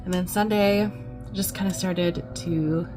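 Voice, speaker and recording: A woman's voice: on the low side, steady tone, a little slow. A young woman vlogging casually and upbeat about her craft sales, with lots of ums. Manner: chatty, upbeat, many ums. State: losing her voice from a cold. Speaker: crochet vlogger. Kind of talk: casual vlog chat. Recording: clear background music.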